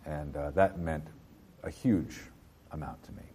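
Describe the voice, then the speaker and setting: A man in his fifties from New Jersey, a bookseller being interviewed, speaking in a halting way with many fillers and restarts. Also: extremely low pitch, dark tone, slight background noise. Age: 50s. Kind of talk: casual interview.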